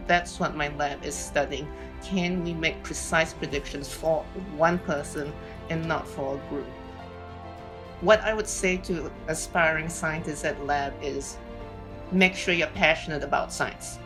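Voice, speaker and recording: A woman from Singapore in her fifties, giving an earnest, measured award acceptance speech. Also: low voice. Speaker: award recipient.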